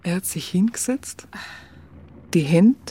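A woman's voice: lowish voice, lively intonation, slow, dark tone, husky, exaggerated voice. An actress playing a curious, smitten young woman photographer in a radio play, chatty and colloquial with a Bavarian accent. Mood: wistful, musing. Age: young adult. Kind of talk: radio play narration and dialogue.

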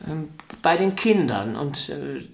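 An actor playing a gentle, modest violinist in a German radio play, hesitant and polite in his speech. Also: on the high side, expressive, slow, vocal fry, full character voice. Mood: earnest, wry. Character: gentle, modest famous violinist, wryly earnest.

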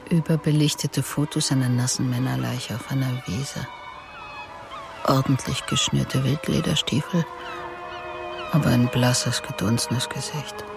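Low-voiced, clipped female detective. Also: steady tone, a little slow, gravelly, music in the background, full character voice. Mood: detached, observant. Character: observant, dry, sceptical female police detective. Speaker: actress playing the lead detective and narrator. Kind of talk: radio crime drama, terse inner monologue and questioning.

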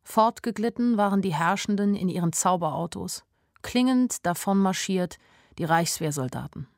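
A woman's voice: fairly low pitch, animated, moderate pace, dry recording. An actress playing a naive, wryly observant young woman, narrating a radio drama in a dry, matter-of-fact way. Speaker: first-person narrator.

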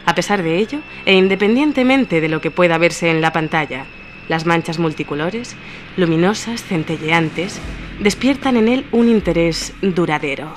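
A woman acting as a composed, formal lecturer in scripted radio theatre, with a measured, didactic manner. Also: lowish voice, animated, lightly acted. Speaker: radio drama narrator. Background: music.

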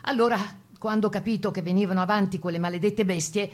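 A woman's voice: medium pitch, fairly even intonation, quick pace, natural voice. A woman acts out a spirited female partisan courier looking back on the war. She tells it like a story to a listener, in a mid-range voice, throwing out rhetorical questions. The style is a first-person radio drama monologue. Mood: tense, defiant.

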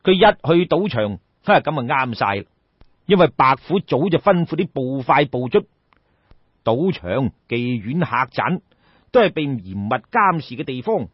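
Cantonese guy doing old-style storytelling, raspy voice, dull echoey recording, talks right to listeners. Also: mid-range voice, sing-song, unhurried. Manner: colloquial, theatrical, addresses listeners directly. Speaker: storyteller, audiobook narrator. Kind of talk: traditional Cantonese oral storytelling, dramatic narration.

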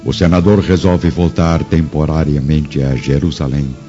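A man's voice: very deep voice, steady tone, measured pace. A man narrating a radio drama from a script in a solemn, theatrical way. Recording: muffled audio with faint music.

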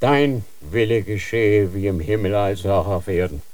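An actor playing a stern elderly grand inquisitor in a radio drama, grave and commanding. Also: male voice, fairly low pitch, normal speed, darkish tone, lightly acted. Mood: solemn.

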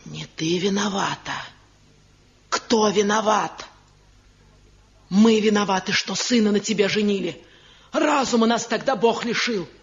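A woman's voice: mid-range voice, natural voice. On a dull vintage radio play recording, an older village matriarch, played by an actress speaks in bitter reproach. She nags and scolds her household with an aggrieved air. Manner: reproachful, nagging. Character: bitter, scolding old village mother-in-law. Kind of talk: 1970 radio drama acting.

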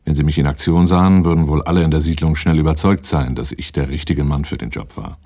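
Male, brooding first-person narrator for crime radio play, very low, dark mellow voice, self-assured and understated. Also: fairly even intonation, fast. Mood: reflective.

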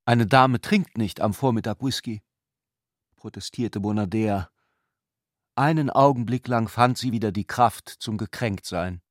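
A man reading literary prose for a radio production in a measured, matter-of-fact way. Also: on the low side, dry recording, clean studio sound. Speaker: narrator of a radio literary adaptation. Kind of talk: literary scripted narration. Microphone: close studio mic, dry.

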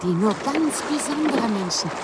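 An actress in a German radio play playing a dreamy, unhinged woman who speaks in a slow, coaxing, wistful way. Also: a little high, expressive, close to an everyday voice. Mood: coaxing, rapt. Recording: loud wind, water and boat noise. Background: sea wind and rowing boat sounds.

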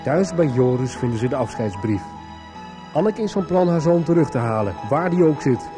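A man's voice: mid-range voice, expressive, moderate pace. A man narrating a scripted recap as the narrator of a Dutch radio soap. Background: prominent music.

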